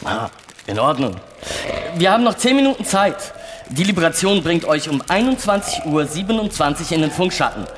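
Man doing a high, swooping mission-control operator. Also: sing-song, normal speed. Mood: brisk, teasing. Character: brisk, jovial Houston mission-control radio operator. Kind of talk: staged radio-play reading. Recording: reverberant hall with faint noise.

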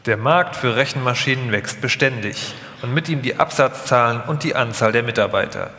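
A man's voice: mid-range voice, moderate pace, sharp tone. A man reading scripted documentary narration live, as the narrator of a radio feature. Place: live stage in a large hall. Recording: echoey hall with long reverb.

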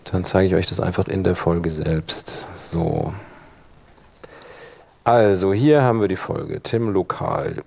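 A man giving an informal live software tutorial as workshop instructor, thinking aloud while he demonstrates. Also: deep voice, takes it slowly, gravelly.

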